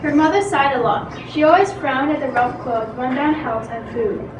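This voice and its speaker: A woman's voice: high-pitched, normal speed. A woman narrating a scripted story for an amateur readers theatre. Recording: noisy echoey room from far off.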